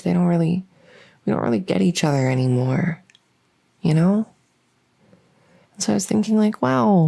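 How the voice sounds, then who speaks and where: A woman playing an awkward, lonely female vampire in an ASMR roleplay, speaking in a halting, earnest, rambling way to the listener. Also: sits low, creaky, dark tone, exaggerated voice.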